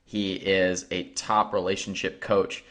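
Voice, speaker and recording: A man's voice: low voice, flat delivery, slow, a bit bright. A man hosting a podcast, giving an upbeat motivational intro and then interviewing his guest. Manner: upbeat, motivational, laughs easily. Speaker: podcast host.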